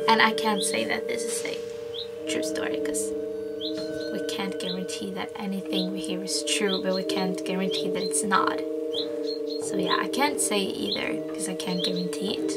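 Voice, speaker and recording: A young woman from Sweden with a Swedish accent, rambling casually through a spooky tale in a vlog and dropping often into whispers. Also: medium pitch. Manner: chatty, rambling, slips into whispers and giggles. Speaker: YouTuber. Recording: loud spooky background music.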